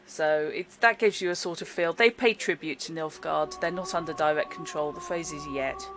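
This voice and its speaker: A woman narrating as game master in a chatty, explanatory way, with fillers and quick laughs. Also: sits low, background noise. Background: background music.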